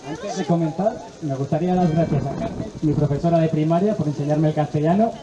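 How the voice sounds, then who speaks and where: A man's voice: on the high side, fast talker, a crowd in the background. A man from Ukraine, reciting a poem earnestly and steadily at a recital. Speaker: adult student reciting poetry. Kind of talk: poetry recital. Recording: echoey hall, dull sound.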